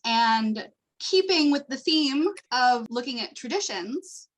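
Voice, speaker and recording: A woman's voice: high-pitched, lively intonation, slow, rough recording. A woman hosting an online meetup, introducing segments over a video call. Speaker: host. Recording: faint noise on video call.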